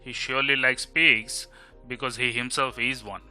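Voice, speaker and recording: Male actor as young grumbler, Bengali Indian accent, very bright forceful voice, sarcastic teasing, audio drama, music underneath. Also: medium pitch, fairly even intonation, brisk. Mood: scornful, teasing. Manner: sarcastic, exasperated. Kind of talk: amateur audio drama acting. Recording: music behind the voice.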